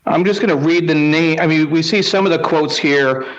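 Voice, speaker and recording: A man hosting a casual live show, reading things out in short, halting phrases with pauses between them. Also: a little high, highs cut off. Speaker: host of a live newspaper read-along.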